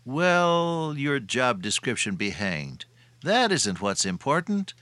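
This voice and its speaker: A man's voice: medium pitch, big pitch swings, slow pace, bright, forceful tone, no room sound. An older man preaching a sermon in a folksy, homespun way. He tells everyday anecdotes and chuckles at his own stories.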